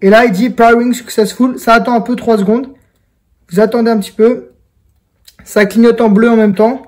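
A man's voice: extremely high pitch, steady tone, moderate pace, darkish tone. A young man giving a casual product tutorial, informal and talking straight to the viewers. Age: young adult. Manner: informal, addressing viewers directly. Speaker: video creator and presenter. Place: indoors in a home room.

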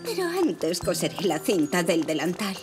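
A woman voicing a kindly, homely grandmother zebra in a children's cartoon, gentle and expressive. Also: on the low side, normal speed, over music. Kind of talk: animated children's cartoon dubbing.

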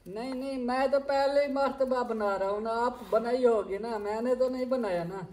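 A man, greeting viewers in a friendly, brisk way and asking them to subscribe. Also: very high voice, darkish tone. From Pakistan. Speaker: co-host of a family vlog. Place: outdoor courtyard with brick walls.

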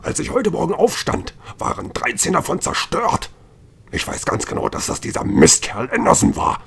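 A man's voice: on the high side, wildly swooping pitch, moderate pace, creaky, a bit bright, slight background noise, full character voice. A man playing a grumpy, flustered, indignant townsman in an audio drama, stammering at times. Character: grumpy, flustered male townsman obsessed with his garden gnomes.

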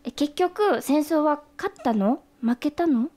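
A woman's voice: high-pitched, lively intonation, slow pace, dark tone. A woman playing a sweet, naive, supportive girlfriend in a radio comedy, with a hesitant, bubbly manner. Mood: innocent. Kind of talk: radio comedy drama acting.

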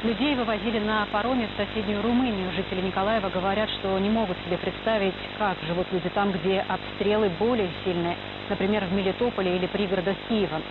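A woman's voice: medium pitch, steady tone, quick pace, few pauses. A woman presenting scripted radio news. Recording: noisy dull shortwave radio broadcast, heavy hiss.

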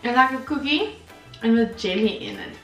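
Giggly high-voiced young woman. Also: huge pitch swings, normal speed, fairly bright tone. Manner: giggly, exclamatory. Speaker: vlog co-host. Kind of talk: casual candy taste-test chat. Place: small bare room indoors, seated on a couch. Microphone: camera mic at a distance. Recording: roomy echo, faint music.

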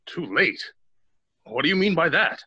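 An actor playing a skeptical, folksy small-town sheriff in an old-time radio drama, husky and authoritative. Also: male voice, fairly high pitch, very animated, measured pace, bright, forceful tone, dry recording, muffled recording, exaggerated voice.

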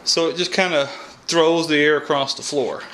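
A man's voice: a little high, fairly even intonation, moderate pace, a bit bright, slight background noise, low-quality recording. A man in his thirties with a Kentucky accent, explaining heating and air repair in a folksy, think-aloud way, filmed on a camera mic. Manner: folksy, thinks aloud, frequent "all right". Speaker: HVAC technician. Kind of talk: casual on-the-job explanation. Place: outdoors by an AC unit, then inside a house.

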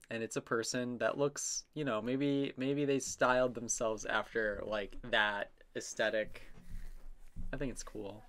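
A man's voice: medium pitch, fairly bright tone. A man narrating his own drawing process in a casual, unscripted voice-over, rambling and self-amused as his musings trail off.

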